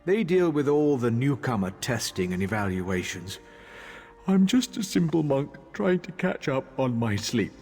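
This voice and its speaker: Casting: a sleepy, irritable game monk, weary and dismissive, lively mid-range pitch, reverberant hall with music. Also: male voice, a little slow, slightly rough, full character voice. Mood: irritated, sleepy. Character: drowsy, grumpy male monk wanting his nap. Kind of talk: video game character dialogue.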